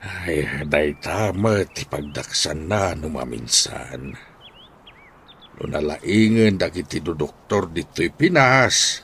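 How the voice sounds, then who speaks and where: An actor playing a doting, emotional old grandfather in a radio drama, with sighing, drawn-out speech. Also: very deep voice, expressive, fairly bright tone, a little hiss or hum, exaggerated voice. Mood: touched. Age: older.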